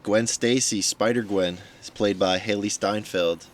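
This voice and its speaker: Young male podcaster, low voice with slight rasp, dry humor. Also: fairly even intonation, unhurried. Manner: laid-back, dry, joking. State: slightly raspy voice. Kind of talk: casual chat.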